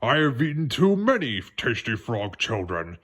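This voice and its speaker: A man voicing a hungry old frog ghost from a storybook, making slow, threatening pronouncements. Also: mid-range voice, big pitch swings, a bit bright. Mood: menacing.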